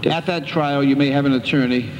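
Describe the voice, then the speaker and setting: Older male judge, stern and measured. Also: mid-range voice, steady tone, dull audio. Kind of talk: courtroom proceedings. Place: municipal courtroom. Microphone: bench microphone. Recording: reverberant courtroom, background noise.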